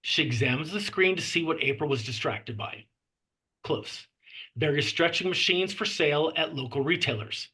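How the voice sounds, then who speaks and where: A man narrates a screenplay at a table read, reading out the stage directions. His voice is fairly high and has a bright, forceful edge. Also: animated, normal speed, low-quality recording.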